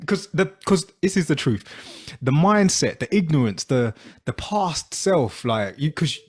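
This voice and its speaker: A man's voice: a little high, big pitch swings, a little slow, breathy edge. A man co-hosting a podcast, talking in a rambling, chatty way full of fillers. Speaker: podcast co-host. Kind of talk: casual interview chat.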